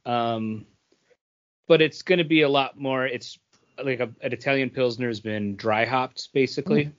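A man co-hosting a podcast, explaining things casually and haltingly. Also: mid-range voice, a bit bright, lo-fi sound. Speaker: podcast co-host. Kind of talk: casual chat.

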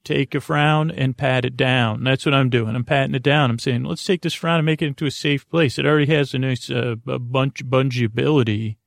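A man with a gravelly, creaky voice hosts a bedtime podcast, drifting from thought to thought with plenty of fillers and false starts. His delivery is soft, self-deprecating and reassuring, and the recording is dry and close, with no room echo. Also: mid-range voice, steady tone, brisk, hardly any pauses. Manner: meandering and digressive, with many fillers and restarts. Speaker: podcast host. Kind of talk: rambling bedtime podcast monologue.

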